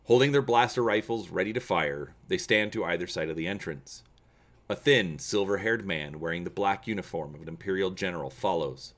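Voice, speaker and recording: A man hosting a gaming channel, explaining to viewers and reading aloud dramatically with expressive emphasis. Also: low-pitched, brisk.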